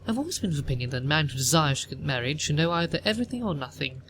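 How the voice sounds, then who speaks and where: A haughty older upper-class matriarch from a period audio play, acted by a man. The voice sits fairly high for a male performer and interrogates with pompous disapproval. Noticeable noise runs behind the recording. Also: expressive, racing, fairly bright tone. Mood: imperious, interrogating. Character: haughty, imperious upper-class Victorian mother, older woman. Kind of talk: theatrical audio drama acting.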